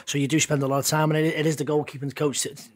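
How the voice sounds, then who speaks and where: A man's voice: fairly high pitch, level tone, fast, slight background noise. A man giving his view in a casual football podcast chat, chatty and loose, often repeating words. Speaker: podcast pundit.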